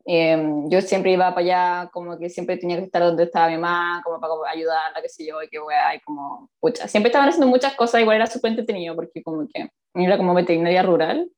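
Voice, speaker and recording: A woman in her twenties with a Chilean accent, telling a story in a casual chat, full of slang and laughter. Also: lowish voice. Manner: animated, slangy, laughs often.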